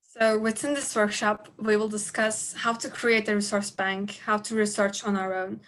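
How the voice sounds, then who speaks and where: A sixteen-year-old girl from Turkey, a native Turkish speaker, presenting an online workshop in halting speech with fillers. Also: medium pitch, little pitch movement, a bit bright. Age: teens.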